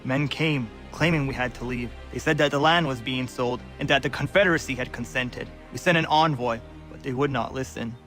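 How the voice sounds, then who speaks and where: A man's voice: medium pitch, brisk, few pauses, a bit bright, lightly acted. A man plays a dignified Mohawk villager bearing bad news. His mid-range voice is earnest, formal and troubled, as in a video game drama, over faint music.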